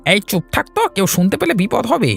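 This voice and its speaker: A man's voice: high voice, very animated, brisk, gravelly, faint music behind, pitched above the performer's own voice, heavily acted. A man voicing a menacing bandit henchman in a cartoon. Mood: threatening.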